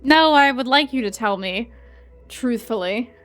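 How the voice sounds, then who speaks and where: A woman's voice: on the high side, animated, unhurried. A woman streaming a game, giving casual, playfully blunt, informal reactions. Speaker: gaming streamer. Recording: game music playing loudly behind.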